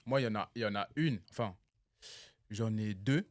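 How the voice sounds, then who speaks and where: A man's voice: fairly low pitch, moderate pace, no room sound. Laid-back young man telling stories. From Cameroon. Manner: animated storyteller, laughs, street slang. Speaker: guest. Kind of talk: casual chat.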